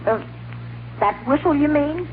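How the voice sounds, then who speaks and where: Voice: high-pitched, expressive, measured pace. A performer playing a jittery, stammering teenage boy in a 1940s radio comedy, on a tinny, hissy old broadcast. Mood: flustered. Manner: stammering, flustered, pleading.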